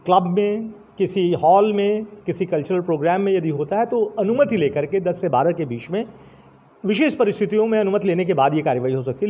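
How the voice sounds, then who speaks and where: A man giving a formal interview as a senior official, speaking in a measured, halting way with English terms mixed in. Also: sits high, mellow.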